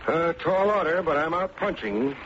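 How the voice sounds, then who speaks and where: A man acting a wry, unflappable private detective in an old-time radio drama, with a deadpan, sardonic delivery. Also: fairly high pitch, normal speed. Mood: wry, brisk. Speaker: lead actor and narrator of a detective serial. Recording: muffled, noisy vintage radio recording.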